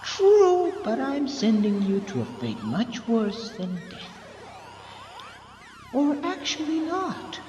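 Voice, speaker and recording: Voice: mid-range voice, huge pitch swings, slow, mellow, dull audio. Someone playing a gloating, echoey Time Lord villain. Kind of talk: sci-fi audio drama acting. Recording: echoey reverberant mix with music.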